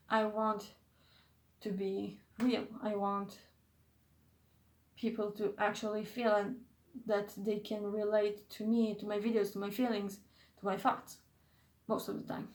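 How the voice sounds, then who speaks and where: A woman's voice: mid-range voice, roomy sound. A young woman who is not a native English speaker, chatting in a casual vlog, hesitant and often losing her train of thought. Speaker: YouTuber.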